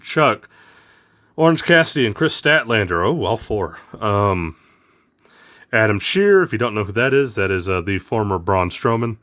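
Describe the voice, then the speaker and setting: A man with a hoarse, raspy voice from losing it, chatting casually as the host of an unboxing vlog, rambling with lots of fillers. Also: mid-range voice, fairly bright tone. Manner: rambling, lots of ums and uhs.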